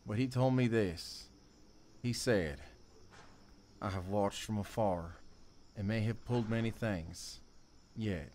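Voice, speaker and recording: An actor playing a remorseful drifter confessing a violent past in audio theater, speaking haltingly and broodingly. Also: male voice, on the low side, darkish tone, slight background noise.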